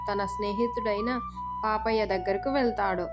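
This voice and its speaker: A woman's voice: medium pitch, fairly even intonation, moderate pace, over music. A woman narrating a scripted story as its storyteller.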